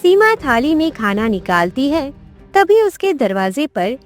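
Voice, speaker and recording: A woman's voice: sits high, very animated, unhurried, soft background music. A woman narrating a scripted moral tale for a cartoon, as a storyteller.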